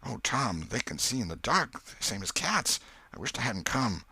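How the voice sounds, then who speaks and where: A man voicing a superstitious, fearful young country boy with a hushed, shivery, folksy rustic dialect in a storybook. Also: mid-range voice, sing-song, moderate pace, gravelly, husky, no echo, voice pushed up, full character voice. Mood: solemn, fearful.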